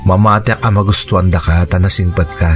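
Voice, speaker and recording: A man's voice: sits low, steady tone, quick pace, soft background music, lightly acted. A man playing an earnest, reassuring widowed father who is courting, in a radio drama. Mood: earnest, tender. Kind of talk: Ilocano radio drama acting.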